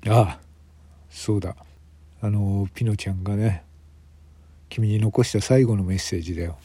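A man playing a grave, weary police detective in a radio drama, speaking in a measured, hesitant way with sighs. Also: on the low side, warm tone, breathy edge, dry recording. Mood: solemn.

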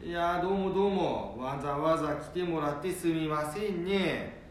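A very echoey recording with faint rumble carries a man acting as a blunt, sturdy old grandfather in a radio drama. He speaks thick Nanbu dialect in a slightly raised male pitch. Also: moderate pace, natural voice. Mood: hearty, polite. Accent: Nanbu dialect of northern Japan. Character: blunt, sturdy old Japanese grandfather worried for his son.

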